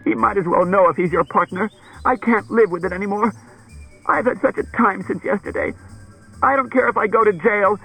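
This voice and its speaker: A man voicing a frightened, tearful wife, breathy and quavering, pleading in halting bursts as in an audiobook. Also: sits high, bright, forceful tone, soft background music, voice pushed up, full character voice, poor audio. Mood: desperate, pleading. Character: distraught tearful woman, frightened wife confessing.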